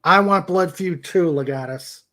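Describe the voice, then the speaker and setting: An older man hosting a casual livestream, greeting viewers in a halting way and often trailing off mid-sentence. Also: high voice, pushed and bright. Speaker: livestream show host.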